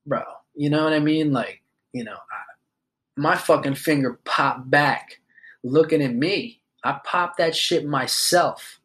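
A man's voice: fairly high pitch, unhurried, fairly bright tone. A man in his twenties hosting a podcast, talking loosely and rambling in a casual monologue, swearing often and leaning on fillers. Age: 20s. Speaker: podcast host.

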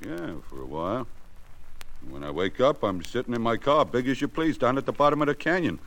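An actor with an American accent playing a pushy small-time crook in an old radio crime drama, blunt and full of street slang. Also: male voice, medium pitch, animated, fairly quick, fairly bright tone. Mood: indignant, eager. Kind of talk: 1940s-50s American radio crime acting. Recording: noisy vintage radio drama recording.

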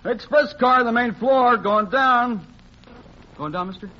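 An actor playing a chatty young elevator operator in a 1940s radio drama. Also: male voice, very high-pitched, measured pace. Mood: calling out. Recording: muffled hissy old radio recording.

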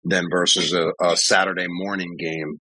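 Deep, husky man explaining calmly. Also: no room sound, rough recording. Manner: measured, explanatory. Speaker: basketball referee guest. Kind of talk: casual interview.

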